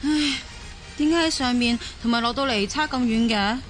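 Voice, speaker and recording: A woman's voice: a little high, normal speed, faint music behind, natural voice. A woman acting a discontented, outspoken young wife and mother from the mainland in a Cantonese radio drama. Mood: doubting, plaintive. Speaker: voice actor in an audio drama.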